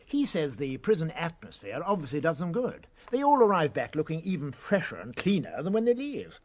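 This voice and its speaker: A plummy upper-class British prison governor in a radio comedy. He speaks fairly high with lively swings, affable, very polite and a little flustered. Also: fairly quick. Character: posh, affable, bumbling male prison governor. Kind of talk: comic radio play acting.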